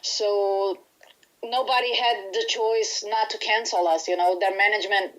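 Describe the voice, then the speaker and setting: A woman's voice: mid-range voice, fairly even intonation, a little slow, fairly bright tone, poor audio. Chatty Brazilian woman in an interview. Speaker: band musician being interviewed. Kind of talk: casual interview chat.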